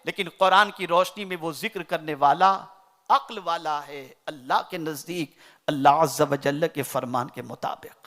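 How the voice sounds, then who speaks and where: A man from India, preaching a sermon in an oratorical style that swings between loud declaiming and soft recitation. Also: a little high, moderate pace. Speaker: Islamic preacher.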